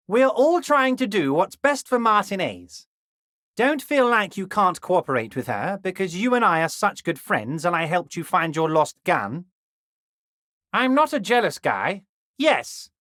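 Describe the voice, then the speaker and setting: Game casting: heavyset union boss, smug and falsely chummy, insinuating, highly animated intonation, dry studio sound. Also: male voice, no room sound, exaggerated voice. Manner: ingratiating, oily, insinuating, repeatedly addressing listener as a pal. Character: smug, falsely friendly, overweight corrupt union boss, adult man. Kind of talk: video game character dialogue.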